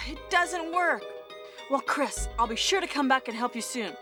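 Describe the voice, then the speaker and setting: A woman's voice: sits high, lively intonation, measured pace. A woman playing an earnest young special police officer in a cheesy 1990s video game, with stilted, exaggerated delivery. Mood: determined. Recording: long reverb, faint music behind.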